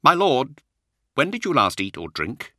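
A man's voice: mid-range voice, wildly swooping pitch, measured pace, sharp tone, no echo, clean studio sound, voice pushed up, exaggerated voice. A man voicing an earnest warrior-librarian in an audiobook, speaking with urgent, respectful intensity. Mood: concerned. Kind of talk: audiobook character acting.

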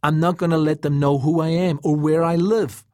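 A man voicing an earnest, defiant young man in an audiobook, insistent and quick to anger. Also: on the high side, steady tone, warm tone, no echo, studio quality, voice pushed up, close to an everyday voice. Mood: determined. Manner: insistent, hot-tempered. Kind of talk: audiobook character dialogue.

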